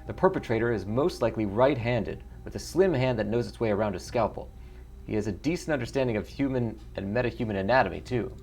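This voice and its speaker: Man doing a dry, measured dwarf coroner. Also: on the low side, animated, darkish tone, a little hiss or hum. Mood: clinical. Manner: measured, matter-of-fact explaining. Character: dry, wry dwarf coroner, principled and solitary.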